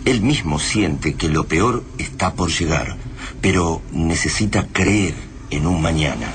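An actor with an Argentine accent narrating a grim invasion saga as a scripted radio drama in a solemn way. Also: male voice, deep voice, a little slow, a little hiss or hum.

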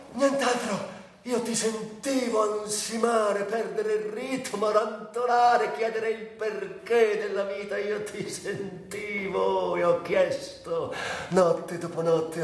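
An actor playing an anguished, bitterly ironic sick man in a theatrical radio monologue, speaking in broken, lyrical phrases with long pauses. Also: on the high side. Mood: anguished, pleading. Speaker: stage actor and director. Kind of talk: dramatic literary monologue for radio. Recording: very echoey, distant, with faint hiss.

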